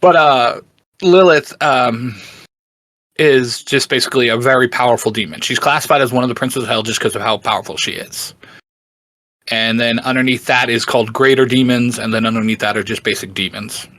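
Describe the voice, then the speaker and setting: A man's voice: mid-range voice, brisk, fairly bright tone, no echo. A man chatting casually as a podcast guest, explaining story lore in an informal, enthusiastic way. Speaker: podcast guest, fantasy book fan.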